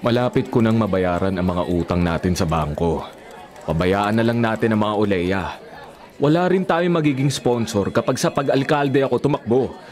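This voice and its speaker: A man's voice: lowish voice, animated, normal speed, darkish tone, a faint crowd, close to an everyday voice. A man in a radio drama playing an earnest, respectful young vice mayor in love, polite and hesitant in speech. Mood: respectful, reasoning.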